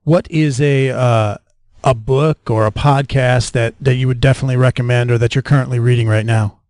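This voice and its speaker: A man's voice: mid-range voice, fairly even intonation, moderate pace, a little mellow, no room sound, studio quality. An American man hosting a casual interview in a conversational style. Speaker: interviewer, podcast host.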